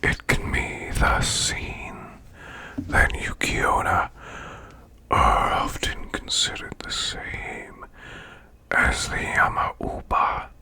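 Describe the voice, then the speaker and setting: A man voicing a male demon boss in an ASMR bedtime reading, with a breathy, rasping, growled whisper and slow, deliberate storytelling. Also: sharp tone, exaggerated voice. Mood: hushed, soothing. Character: male demon boss, growling while lulling listener to sleep.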